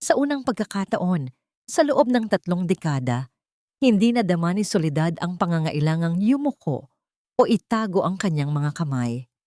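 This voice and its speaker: Low-voiced Filipino woman narrating. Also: big pitch swings, moderate pace, warm tone, no room sound, clean studio sound. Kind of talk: scripted dramatic story narration.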